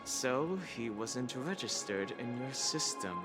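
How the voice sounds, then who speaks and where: A composed young game hero with a medium-pitched voice. He is serious, polite and formal, and music plays clearly behind him. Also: expressive, takes it slowly. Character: composed, courteous, serious young male traveller. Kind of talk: scripted game voice acting.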